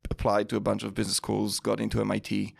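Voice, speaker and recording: Gravelly low man with Israeli accent. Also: quick pace, no room sound. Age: 40s. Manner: relaxed, hesitant, with many fillers. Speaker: guest, tech CEO. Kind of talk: casual interview answers.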